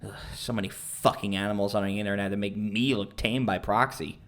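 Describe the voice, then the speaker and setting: Bitter young internet troll dude, dramatic and whiny about himself, pitch all over the place. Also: male voice, big pitch swings, measured pace, fairly bright tone. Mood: smug. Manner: stammering, dramatic, self-pitying. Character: bitter, boastful young male internet misogynist, pleading then ranting. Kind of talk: audio drama acting.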